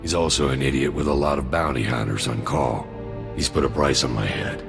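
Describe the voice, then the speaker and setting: A sardonic mercenary soldier with an extremely low, rumbling voice and plenty of gravel in it. He delivers his dry, greedy schemes in a laid-back game-cutscene style, with prominent music underneath. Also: steady tone, brisk. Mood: wry, grumbling. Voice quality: very deep, gravelly. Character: cynical, greedy mercenary soldier, alien arms dealer with dry humour. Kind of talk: video game cutscene dialogue.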